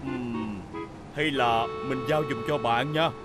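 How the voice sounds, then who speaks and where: A very deep, kind big truck. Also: female voice, steady tone, slow, loud music behind the voice. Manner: polite, helpful. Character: big kind helpful male cargo truck. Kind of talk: cartoon dub.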